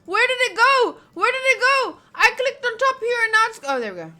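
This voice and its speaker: A woman's voice: very high voice, very animated, brisk, pushed and bright. A woman streaming a game, reading its text aloud and chatting casually with playful asides.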